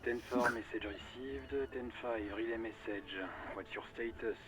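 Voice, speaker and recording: A man's voice: mid-range voice, fairly even intonation, normal speed, over a noisy crowd, lightly acted. An actor voicing a formal, dutiful male police radio officer in a game, speaking in clipped radio codes.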